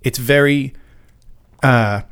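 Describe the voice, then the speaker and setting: A man's voice: medium pitch, takes it slowly, fairly bright tone, smooth. Mid-voiced man in casual podcast chat. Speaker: podcast co-host.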